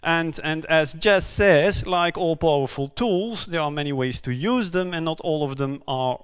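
A man from Belgium, for whom English is not his first language, giving a conference talk on a stage with many pauses, "um"s and repeated words. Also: fairly high pitch, smooth. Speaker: conference speaker.

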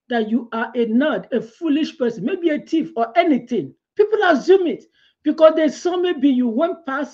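A middle-aged woman giving a devotional sermon from a small room at home. She tells her stories with lively hand gestures and laughs along the way. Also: high voice, muffled recording. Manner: animated storytelling with hand gestures, laughs through her anecdotes. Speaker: preacher.

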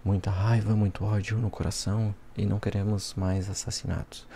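A young man with a Brazilian accent playing a smug, playful devil in a soft-spoken, teasing ASMR roleplay. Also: fairly low pitch, level tone, fairly quick, gravelly, a little hiss or hum. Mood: intrigued, playful. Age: young adult. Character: smug, playful devil helping police as a consultant. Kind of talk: ASMR roleplay, soft talk mixed with whispering.

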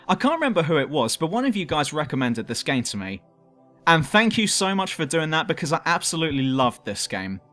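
A man's voice: fairly high pitch, fairly bright tone. A man reading a scripted countdown review as host, with a cheeky, irreverent manner. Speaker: host and reviewer of a top ten list show.

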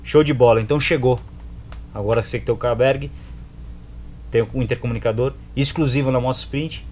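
A man's voice: mid-range voice, steady tone, brisk, darkish tone. A young man with a Brazilian accent giving a casual, slangy product review in short clipped phrases. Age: young adult. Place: indoors in a motorcycle gear shop. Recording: clear background noise, shop ambience.

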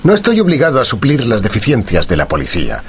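Shrewd gentleman detective for radio drama: Castilian Spanish accent, mid pitch, formal, self-assured, expressive intonation. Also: quick pace, slightly rough, full character voice. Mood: reflective, assured. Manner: authoritative, precise, formal.

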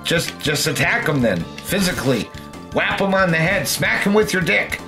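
A man's voice: fairly high pitch, animated, moderate pace. A man hosting a gaming show, chatting casually while he plays, with self-deprecating jokes and swearing. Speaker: gaming show host playing the game. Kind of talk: casual banter over video game play. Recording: game music under the voice.

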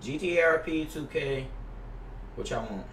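A man's voice: fairly high pitch, animated, normal speed, fairly bright tone, roomy sound, far from the mic, slight background noise. A young American man in his twenties chatting casually on a gaming livestream, profane, slangy and repetitive in his griping. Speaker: game streamer. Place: indoor home gaming room. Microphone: headset mic.

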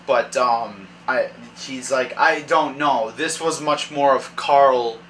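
A young man narrating as dungeon master at a kitchen table, reading room descriptions haltingly and thinking aloud. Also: mid-range voice, a bit bright, slight background noise, low-quality recording. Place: dim home kitchen at a table. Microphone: far camera.

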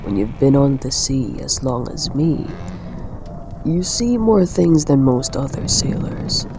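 A man's voice: medium pitch, very animated, takes it slowly. A man playing a commanding, roguish yet protective pirate captain with nautical slang in an audio roleplay. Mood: calm, guarded. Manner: authoritative, uses nautical slang. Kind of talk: dramatic audio roleplay acting. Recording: clear background ambience noise.

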